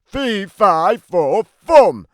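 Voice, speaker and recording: A man's voice: sits high, very animated, very slow, no echo, studio quality, heavily acted. A man voicing a big, grumpy, always-hungry giant who tells his side of a children's storybook in a theatrical, emphatic way. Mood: booming, angry. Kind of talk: scripted children's storybook narration.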